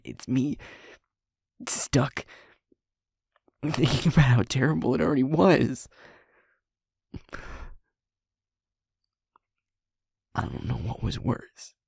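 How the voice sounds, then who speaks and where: A man playing a guilt-ridden young werewolf boyfriend in an intimate audio roleplay, speaking haltingly and rawly in a husky, creaky, breathy voice. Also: fairly low pitch, darkish tone, dry recording, clean studio sound, full character voice. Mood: anguished, haunted. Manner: halting, emotionally raw, broken-up phrases.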